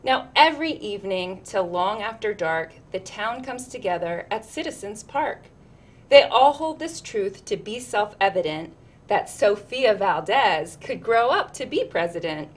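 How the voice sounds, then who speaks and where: A woman's voice: medium pitch, lively intonation, unhurried. A woman reading a children's picture book aloud, expressively, with chatty asides. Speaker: storytime reader.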